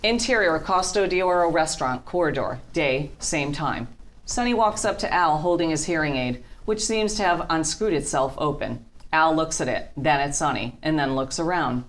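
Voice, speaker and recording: A woman narrating a script's stage directions in a deliberate, matter-of-fact way. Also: low-pitched.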